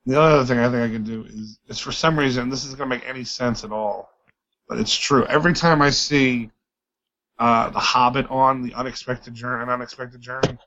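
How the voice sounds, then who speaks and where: A hoarse man, a podcast guest, rambling wryly in casual comedy chat. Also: medium pitch, fairly even intonation, fairly quick, sharp tone. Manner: rambling, wry. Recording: slightly dull lo-fi audio.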